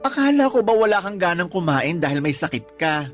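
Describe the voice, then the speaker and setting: A woman's voice: fairly low pitch, sing-song, a little fast, faint music behind. A woman playing a nagging but concerned cousin, scolding and questioning in radio drama dialogue.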